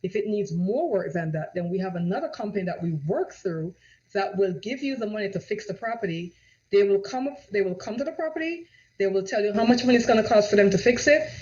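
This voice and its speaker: Jamaican woman giving a persuasive sales pitch. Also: expressive, fast, a little mellow. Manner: persuasive, rhetorical questions, repeats "right?". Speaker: real estate agent. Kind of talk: direct-to-camera sales pitch. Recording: dull lo-fi audio.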